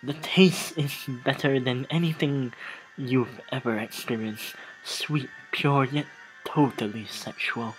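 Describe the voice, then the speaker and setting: Slow, halting game reader over music. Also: medium pitch, poor audio. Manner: halting, repeats and restarts phrases while reading. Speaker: let's play host. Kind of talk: dramatic reading of game text with asides. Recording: music behind the voice.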